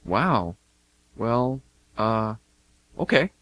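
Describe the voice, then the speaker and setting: A man's voice: mid-range voice, very animated, extremely slow, a bit bright, no echo, full character voice. A man playing a shy, awkward, stammering young man in an amateur radio comedy. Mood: awkward, pleased. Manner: awkward, stammering, eager to please. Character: shy, flustered young man in bird costume selling candy.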